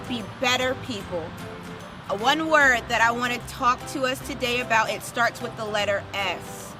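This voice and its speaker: A woman hosting a children's story time, reading aloud expressively and putting questions straight to young listeners, with music behind her. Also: on the high side. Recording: music under the voice.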